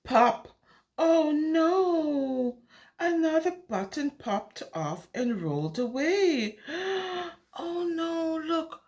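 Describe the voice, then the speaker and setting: A woman reads a picture book to young children in a high voice. She takes her time and speaks at an unhurried pace. Her delivery is singsong and exclamatory, swinging widely in pitch as she puts questions to her little listeners. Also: animated, darkish tone. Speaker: children's storyteller reading a picture book aloud. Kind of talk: animated interactive read-aloud for young children.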